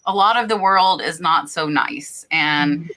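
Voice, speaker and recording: A woman's voice: deep voice, unhurried, sharp tone, slight background noise, low-quality recording. A woman from Florida with an American accent, hosting a casual live chat and answering viewer questions with blunt, practical advice. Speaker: host of a reseller live show.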